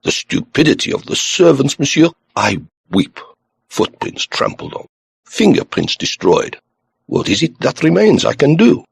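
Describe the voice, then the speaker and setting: A man voicing an excitable, pompous police commissary in an audiobook, florid and dramatic. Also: on the high side, a little slow, creaky, slightly rough, no echo, clean studio sound, voice pushed up, full character voice. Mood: aggrieved, emphatic.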